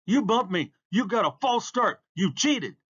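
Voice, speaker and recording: A man's voice: mid-range voice, sing-song, measured pace, pushed and bright, slightly rough, muffled recording, full character voice. A man voicing a cocky, boastful boy racer in a storybook, brash and emphatic. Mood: indignant.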